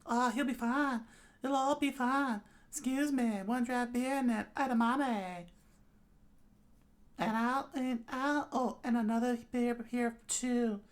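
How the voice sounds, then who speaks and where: A man's voice: extremely high pitch, fairly even intonation, takes it slowly, pitched above the performer's own voice. A man voicing a playful, boozy young woman with a breezy, coy, teasing manner in a story read aloud.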